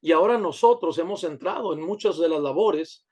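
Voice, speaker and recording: A man's voice: a little high, lively intonation, fairly quick, a little mellow. A man pastor from the United States, a guest in an interview, speaking earnestly with many fillers and restarts. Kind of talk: conversational interview.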